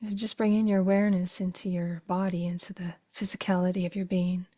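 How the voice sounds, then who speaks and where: A woman guiding a meditation in a soft, breathy voice that often drops toward a whisper, with slow, gentle phrases and long pauses. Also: on the low side, flat delivery. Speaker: meditation teacher. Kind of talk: guided meditation.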